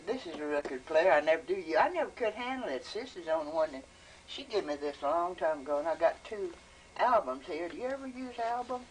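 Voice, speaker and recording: A woman's voice: lowish voice, animated, brisk. An elderly woman chatting casually and teasingly at home in folksy rural speech. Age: 70s. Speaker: grandmother being filmed at home. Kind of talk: casual chat. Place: small home kitchen, indoors. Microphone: camcorder microphone. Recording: noisy amateur home video.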